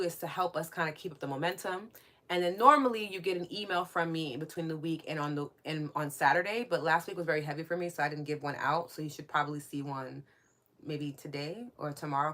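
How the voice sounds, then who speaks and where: A woman with a strong New York accent hosting a live spiritual podcast, chatting and reading out listener comments. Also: sits low. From New York City. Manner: warm, chatty, reads out listener comments and thanks them. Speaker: podcast host and coach.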